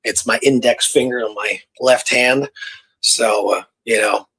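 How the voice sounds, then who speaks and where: Husky-voiced man chatting as a co-host. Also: medium pitch, a little slow, bright, forceful tone, rough recording. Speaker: podcast co-host. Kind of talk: casual conversational storytelling.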